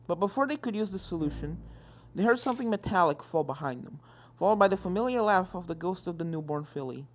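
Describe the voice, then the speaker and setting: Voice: medium pitch, animated, fast. A narrator reading an adventure story in scripted narration, with music playing behind. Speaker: fan fiction narrator.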